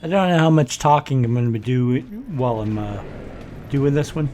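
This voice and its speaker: An older man hosting a let's play from his home office, congested and rough-voiced, rambling with many pauses and fillers. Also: mid-range voice, expressive, a little mellow, a little hiss or hum. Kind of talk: casual gameplay commentary.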